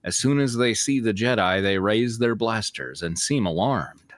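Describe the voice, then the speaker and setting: Male narrator, somewhat low voice, dry close sound, informal read-aloud of a fan story. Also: lively intonation, normal speed, dry recording. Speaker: narrator and co-host of a fan-fiction reading show.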